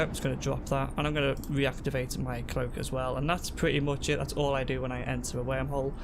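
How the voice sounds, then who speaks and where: A young man with a sore throat and a congested voice, calmly explaining a game to viewers on a headset mic. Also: mid-range voice, vocal fry, noisy background. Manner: relaxed, explanatory, talks to viewers. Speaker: gaming youtuber. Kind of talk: casual gameplay tutorial. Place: home room at a desk.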